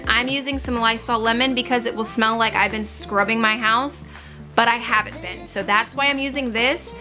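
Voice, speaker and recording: 30s woman talking thru cleaning tips, kinda high voice, music playing under her. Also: bright, forceful tone. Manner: instructive, practical, matter-of-fact. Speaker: cleaning vlogger. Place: home living room, on a couch. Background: background music.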